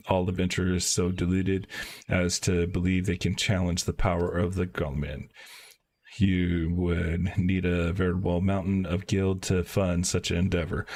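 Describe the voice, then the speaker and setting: A man reading out a smug, scheming male imperial officer from a video game, measured and mocking. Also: low voice, darkish tone, husky, exaggerated voice. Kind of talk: reading game dialogue aloud on a stream.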